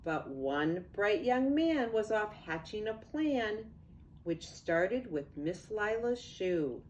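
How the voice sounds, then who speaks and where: An older woman, a grandmother, reads a picture book aloud to young children in an expressive, unhurried way with casual asides. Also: mid-range voice, highs cut off, slight background noise. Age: 60s or older.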